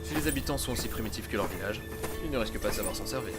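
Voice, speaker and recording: An actor playing a self-assured, curious dimensional adventurer-scientist in a French audio drama, musing aloud in a pompous, condescending way. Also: male voice, fairly low pitch, animated, rapid-fire, natural voice. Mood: wry. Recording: loud music under the voice.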